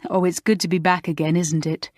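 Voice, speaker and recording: A woman voicing a calm, soft-spoken schoolgirl in a low-key way, close to her own voice. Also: a little fast, no room sound, natural voice. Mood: musing. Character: cheerful head girl type, calm schoolgirl.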